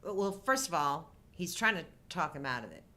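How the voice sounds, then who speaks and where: A middle-aged woman co-hosting a live show, chatting casually about movies and joking in a deadpan way. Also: deep voice, normal speed. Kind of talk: casual humorous movie-discussion chat.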